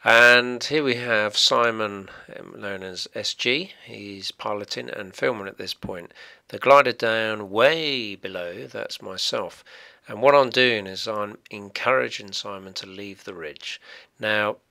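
A man from England, a paragliding instructor, giving a voice-over commentary on flight footage in an explanatory, brisk way, his voice trailing off at the ends of phrases. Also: lowish voice, creaky, bright, forceful tone.

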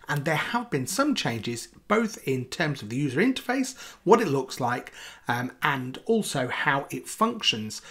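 A man in his forties giving a casual software demo as a video presenter, in a conversational, emphatic way. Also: medium pitch, sing-song, a little slow.

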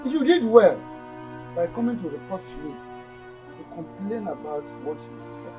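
A man with a Nigerian Igbo accent playing a proud, unyielding village strongman, speaking in a deliberate, self-assured and declamatory way in a film drama. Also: sits high, animated, highs cut off, soft background music. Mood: coolly defiant.

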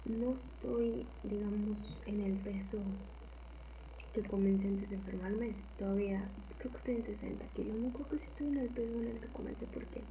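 Soft-spoken Mexican woman, gravelly low murmur. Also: noisy background. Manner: rambling, soft, often drops to a whisper. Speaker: ASMR channel host. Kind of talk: casual soft-spoken chat. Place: small home kitchen, indoors.

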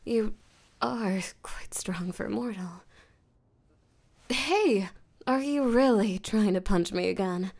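Woman doing a flirty menacing vampire lady for roleplay audio, possessive n teasing, breathy and whispery, lots of vocal fry, really unhurried. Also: medium pitch, expressive, darkish tone, exaggerated voice. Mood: taunting, amused. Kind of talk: roleplay audio drama, intimate acting.

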